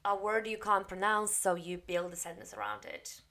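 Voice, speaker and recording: A woman's voice: mid-range voice, steady tone, normal speed, bright, forceful tone. Woman coaching English learners. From Poland. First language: Polish. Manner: encouraging, direct, practical. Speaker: English coach and TEFL teacher. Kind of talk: spoken lesson to camera.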